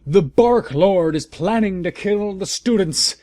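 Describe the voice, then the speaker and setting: A man voicing a pompous, alarmed female official who shouts and declaims in a storybook reading. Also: high voice, animated, a little slow, pitched above the performer's own voice. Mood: alarmed anger. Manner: declamatory, emphatic.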